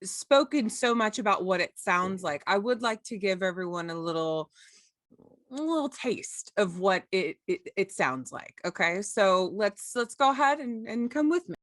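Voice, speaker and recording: A woman's voice: mid-range voice, expressive, moderate pace. A woman hosting a casual podcast interview in an effusive, enthusiastic way. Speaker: podcast host.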